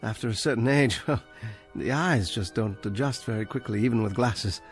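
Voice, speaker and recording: A man's voice: medium pitch, normal speed, breathy edge, faint music behind. An actor playing a courteous, hesitant older male librarian, flustered and stammering, in an audio drama. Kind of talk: full-cast audio drama acting.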